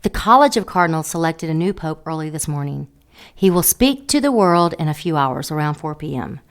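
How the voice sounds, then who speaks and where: A woman playing a seductive female dark angel who teases and mocks in an audio drama. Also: low voice, expressive, brisk. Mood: teasing. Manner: teasing, sultry, mocking.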